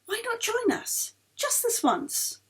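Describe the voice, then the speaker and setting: A woman voicing a coaxing, gently scolding society wife of the 1930s in a storybook, with a wheedling hostess manner. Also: extremely high pitch, big pitch swings, slow pace, pitched above the performer's own voice, full character voice.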